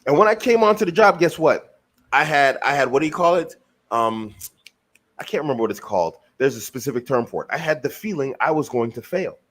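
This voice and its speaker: A man's voice: medium pitch, expressive, brisk, a bit bright. A man from the United States, a guest on a podcast, talking emphatically and throwing in rhetorical questions. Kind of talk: motivational podcast talk. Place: lives in Germany.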